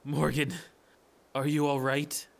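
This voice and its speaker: A man's voice: mid-range voice, very animated, measured pace, noisy background. A man playing a mischievous young prankster with theatrical, mock-solemn airs in an audio drama.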